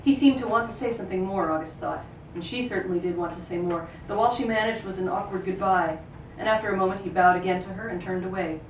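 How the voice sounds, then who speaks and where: Woman, live book reading, expressive narration, small stumbles, roomy sound, background noise. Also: medium pitch, fast talker, hardly any pauses. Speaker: actor reading a book excerpt. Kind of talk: live literary reading, third-person narration. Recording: roomy, distant microphone, noisy ambience.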